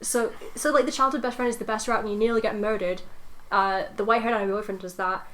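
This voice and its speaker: A woman's voice: on the high side, fairly even intonation, fast, a bit bright, low-quality recording. A young woman co-hosting a casual podcast chat, telling stories in an informal, hesitant way. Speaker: podcast co-host.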